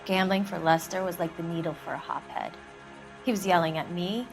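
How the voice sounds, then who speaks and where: An actress playing a hard-bitten, defensive 1940s American widow in a video game, curt, dry and unsentimental. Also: female voice, lowish voice, fairly even intonation, brisk, gravelly, over music, low-quality recording. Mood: bitter, defiant. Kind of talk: dramatic video game acting.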